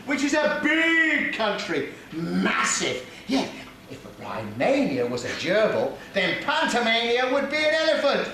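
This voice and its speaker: Cheeky English panto dame, male actor, brash housekeeper, high and wildly swooping, live echoey stage. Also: pushed and bright, heavily acted. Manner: teasing, exclamatory, playing to the audience. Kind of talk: British pantomime stage comedy. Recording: echoey theatre hall, noisy audience.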